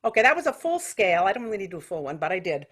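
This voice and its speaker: A woman's voice: mid-range voice, sing-song, racing, a bit bright, husky. A woman giving an informal singing lesson as a vocal technique teacher, explaining things with vivid imagery.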